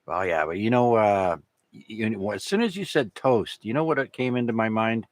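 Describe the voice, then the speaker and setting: Deep-voiced man hosting a radio net. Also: moderate pace, dry recording. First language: French. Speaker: amateur radio net host. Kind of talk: casual radio net chat.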